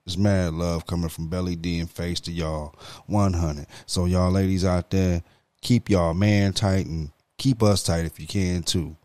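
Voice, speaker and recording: Man, 40s, very low voice, relaxed podcast co-host at a desk mic. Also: measured pace, no room sound. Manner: laid-back, giving shout-outs. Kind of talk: casual podcast chat. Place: home studio room. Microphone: studio mic on desk stand, headphones.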